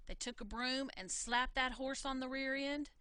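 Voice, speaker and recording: A woman reading a folksy tale in an animated storytelling style, full of country dialect. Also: sits high, normal speed, no room sound. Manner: folksy, dialect-laden telling. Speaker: storybook reader.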